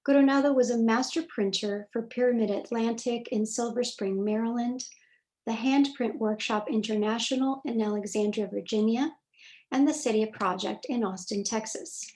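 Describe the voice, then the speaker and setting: A woman moderating a virtual talk, reading a scripted introduction in measured, formal phrasing over a video call. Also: fairly high pitch, a little mellow, low-quality recording.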